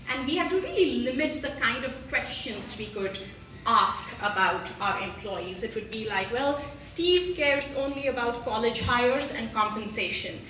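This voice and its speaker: Woman pitching a startup, hesitant with lots of fillers, echoey hall, far from the mic. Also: sharp tone. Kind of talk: live pitch presentation. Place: large meetup venue room. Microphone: livestream phone mic across the room. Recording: echoey room, heard from far off, noisy.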